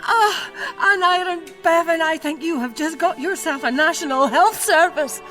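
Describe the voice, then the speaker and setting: An actor playing an overcome, tearfully happy politician in an audio drama. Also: male voice, very high-pitched, moderate pace, over music. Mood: tearful joy.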